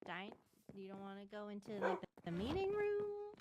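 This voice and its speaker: A woman's voice: mid-range voice, sing-song, normal speed, dull audio, noisy background. A woman chatting casually during an online game roleplay.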